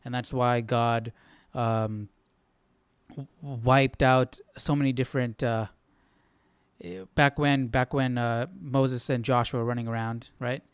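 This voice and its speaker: A man with a smooth, clear voice in a dry, close-sounding space, hosting a casual live study. He talks informally, working through his thoughts aloud and often checking that the listener follows. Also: medium pitch, fairly even intonation, slow pace, no echo. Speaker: host of a live Bible study stream. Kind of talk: casual live commentary on scripture.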